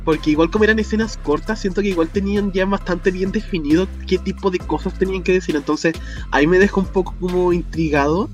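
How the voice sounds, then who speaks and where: A man's voice: sits high, fairly even intonation, fast talker, lo-fi sound, soft background music. A man with a Chilean accent, co-hosting a podcast in casual chat, chatty and laughing often as he drops slang. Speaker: podcast co-host.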